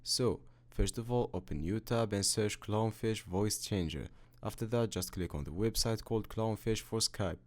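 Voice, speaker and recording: Man, lowish pitch, tutorial host, step-by-step software narration, instructional, matter-of-fact. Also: normal speed.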